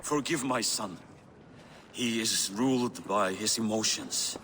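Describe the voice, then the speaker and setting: A slow, grave older Norse king. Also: on the low side, fairly bright tone, slight background noise. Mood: apologetic. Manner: solemn, oratorical. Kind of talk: dramatic scripted game acting. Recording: echoey hall with music underneath.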